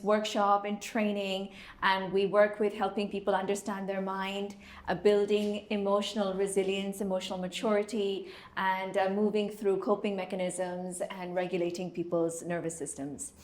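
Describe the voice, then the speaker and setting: A woman's voice: mid-range voice, level tone, normal speed. A woman who runs a wellness center and works as a hypnotherapist, introducing herself in a composed, professional way. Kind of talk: panel introduction. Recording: echoey room.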